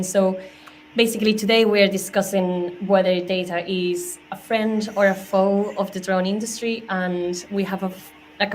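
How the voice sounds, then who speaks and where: A woman moderating an online panel, hesitant, with many fillers. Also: fairly low pitch. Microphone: video call. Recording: slightly noisy video-call audio.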